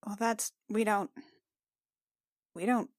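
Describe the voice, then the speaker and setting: A woman's voice: medium pitch, fairly even intonation, slow pace, no echo, studio quality. A woman playing in a tabletop role-playing game, improvising in casual conversation. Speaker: player in a tabletop game podcast. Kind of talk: casual improvised in-character chat.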